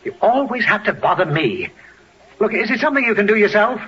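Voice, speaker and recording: A man's voice: high-pitched, very animated, a little fast, bright, forceful tone, heavily acted. A man playing an irritable, sarcastic husband and father in a 1940s radio comedy, with emphatic, sardonic delivery. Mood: impatient. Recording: dull, hissy 1940s radio broadcast.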